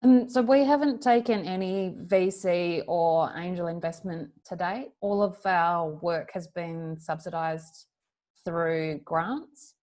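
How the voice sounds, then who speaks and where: Female founder on an online panel: somewhat low pitch, measured, plain explanatory delivery. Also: steady tone, darkish tone. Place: online video call.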